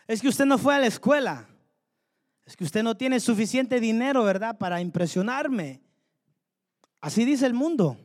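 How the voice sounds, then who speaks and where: A man's voice: very high-pitched, animated, moderate pace, long pauses. A man preaching a sermon, fervently asking the congregation rhetorical questions. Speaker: pastor. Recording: long hall reverb.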